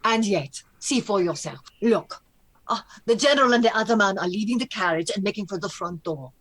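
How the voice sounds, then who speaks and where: An actress playing a watchful, formal governess in a castle household in a radio play, speaking precisely and earnestly. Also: female voice, medium pitch, lively intonation, brisk, low-quality recording. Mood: insistent. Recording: clear background noise under the voice.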